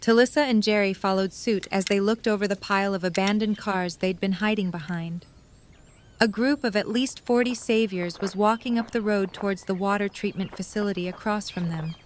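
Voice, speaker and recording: A woman narrates scripted fiction in a mid-pitched voice. There is noticeable ambient noise behind her. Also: normal speed.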